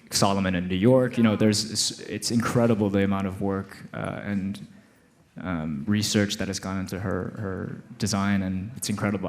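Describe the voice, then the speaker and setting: An American man speaking as an actor guest in a live panel conversation on stage, animated and prone to restarts. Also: on the low side. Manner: animated, laughing, many restarts. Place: stage before live audience.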